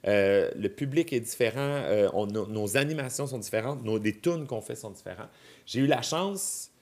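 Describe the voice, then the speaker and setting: A man's voice: medium pitch, normal speed, a little mellow. A man in his thirties with a Québécois French accent, chatting casually as a podcast guest and explaining his ideas with examples and asides. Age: 30s. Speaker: podcast guest, drag performer. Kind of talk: casual chat.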